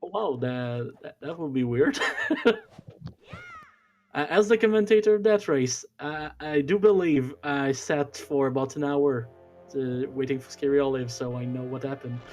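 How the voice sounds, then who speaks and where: A man's voice: mid-range voice, moderate pace, a little mellow, soft background music. Chatty man with a Quebec accent. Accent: Quebec French. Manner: chatty, laughs often, stammers on starts. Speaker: commentator. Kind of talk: casual stream commentary.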